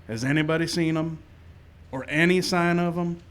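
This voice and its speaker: An actor playing a level-headed, skeptical frontier marshal in a live old time radio western. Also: male voice, on the high side, fairly even intonation, moderate pace, natural voice. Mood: skeptical, firm. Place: live show in a park. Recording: live outdoor stage, noisy background.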